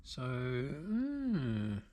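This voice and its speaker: Man, Australian accent, unhurried slow delivery, mid-pitched, casual repair commentary, halting, thinking out loud. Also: wildly swooping pitch. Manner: unscripted, halting, thinking aloud. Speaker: video host, radio repairer. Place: indoor workshop.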